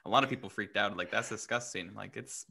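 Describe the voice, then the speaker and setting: A man's voice: fairly low pitch, quick pace, gravelly, sharp tone, husky. A man on a podcast chatting casually in an offhand, wry way. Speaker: podcast guest co-host. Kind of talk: casual comedic chat.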